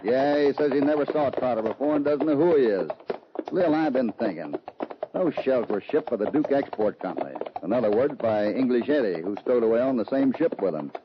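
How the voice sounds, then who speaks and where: A man playing a level-headed, decisive cowboy hero in an old radio western, calm, commanding and plain-spoken. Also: medium pitch, brisk, close to an everyday voice. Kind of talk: 1950s radio western acting. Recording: noisy, muffled old-time radio recording.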